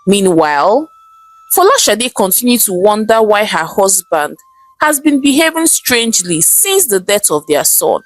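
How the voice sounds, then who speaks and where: A woman with a Nigerian accent narrating a scripted folktale in a dramatic, expressive storytelling manner. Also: mid-range voice, measured pace, soft background music, low-quality recording.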